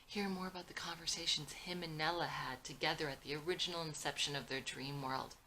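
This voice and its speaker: A woman's voice: deep voice, fairly even intonation, fairly quick, pushed and bright, slight background noise, poor audio. A woman voicing an earnest, idealistic and insistent young dreamer in a dramatic script reading. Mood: earnest, hopeful.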